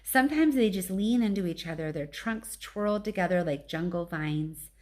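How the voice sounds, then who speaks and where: A woman's voice: fairly low pitch, expressive, normal speed, a little mellow. A woman reading a children's storybook aloud as a teacher, narrating chapter text with brief asides.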